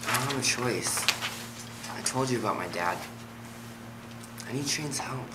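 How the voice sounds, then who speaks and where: A man's voice: mid-range voice, expressive, measured pace, very noisy, close to an everyday voice. A man playing a guarded, troubled young student with dry humour in a script table reading. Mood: earnest, pleading.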